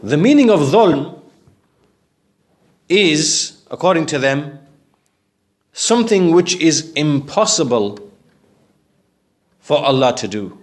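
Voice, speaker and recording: A man's voice: on the high side, very animated, slow, long pauses. A man teaching in an explanatory religious lecture, speaking didactically and checking that listeners follow with questions.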